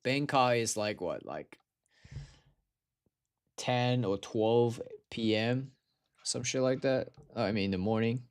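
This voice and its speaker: Tired young man, slow. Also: mid-range voice, smooth. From Taiwan. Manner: slangy, swearing, calls viewers bro. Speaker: livestreamer. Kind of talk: casual livestream chat.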